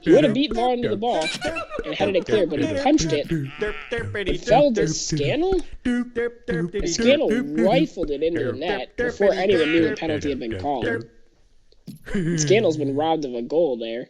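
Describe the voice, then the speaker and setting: A young man with a hoarse, fading voice, chatting casually to viewers over football game footage from a room at home. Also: fairly high pitch, lively intonation, moderate pace, pauses often, a loud crowd. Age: young adult. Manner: chatty, talks straight to viewers as "guys". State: hoarse, losing his voice. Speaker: gaming video creator. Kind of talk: casual gameplay commentary.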